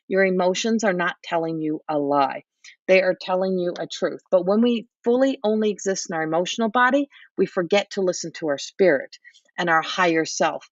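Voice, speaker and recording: A woman's voice: medium pitch, no room sound. A woman host giving a live intuitive guidance reading, talking in an earnest, repetitive coaching way, her voice starting to give out. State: voice going hoarse. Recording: distant, dull lo-fi mic.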